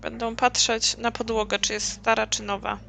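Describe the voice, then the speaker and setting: Bright, creaky-voiced woman gamer. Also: a little high, flat delivery, a little fast, a little hiss or hum. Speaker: gaming let's play host. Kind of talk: casual gameplay commentary, summarizing dialogue.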